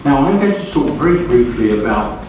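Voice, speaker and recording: An older man from the United Kingdom giving a formal speech at a podium in a large school auditorium, reading from notes at a measured pace. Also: medium pitch, very animated, darkish tone, soft background music. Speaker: guest speaker. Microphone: podium mic from far camera. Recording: echoey hall, far from mic.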